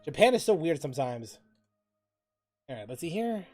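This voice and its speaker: A woman's voice: low voice, big pitch swings, normal speed, a little mellow, soft background music. A woman giving casual livestream commentary on a game, with wry, muttered asides. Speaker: game streamer.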